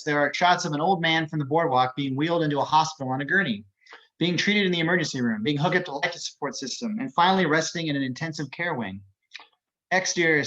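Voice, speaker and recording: Slightly dull-sounding, higher-voiced male narrator. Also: sharp tone. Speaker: narrator of stage directions. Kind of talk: scripted narration, reading screenplay action.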